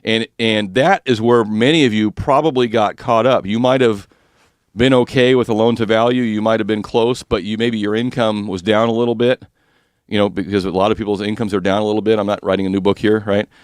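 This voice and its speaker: Husky-voiced man hosting finance radio. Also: lowish voice, steady tone, brisk, dry recording, studio quality. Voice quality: husky, slightly rough voice. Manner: chatty, explains mortgage rules plainly with asides and jokes. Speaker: radio show host. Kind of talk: casual finance talk radio.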